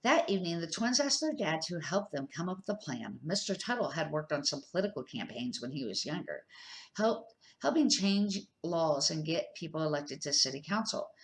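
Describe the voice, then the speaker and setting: Older woman reading aloud, slightly low voice. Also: very animated, normal speed. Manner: amateur reader, stumbles and corrects herself. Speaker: grandmother reading aloud to children. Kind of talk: children's storybook read-aloud.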